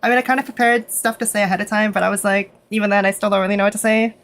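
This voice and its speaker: A Canadian woman streaming in a nervous, casual way, with lots of fillers, switching between English and halting Japanese. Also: medium pitch, steady tone, fairly bright tone. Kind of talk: casual live stream chat.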